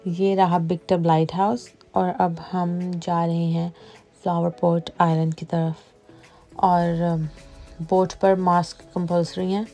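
Calm woman narrating a travel vlog. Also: fairly low pitch, takes it slowly, soft background music. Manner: calm explanatory voice-over, mixing in English phrases. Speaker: travel vlogger. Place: outdoors on a tour boat on a lake.

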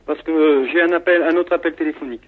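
A man's voice: fairly high pitch, steady tone, fast talker, heavy background noise. A man on a telephone line, speaking as a polite, procedural police switchboard officer asking questions. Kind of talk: official phone call, questioning. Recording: narrow, tinny phone line.

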